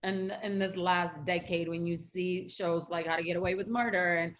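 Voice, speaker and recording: A woman podcast co-host in casual chat, explaining her views in a measured, reflective way. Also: lowish voice, fairly even intonation, a bit bright. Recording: dull lo-fi mic.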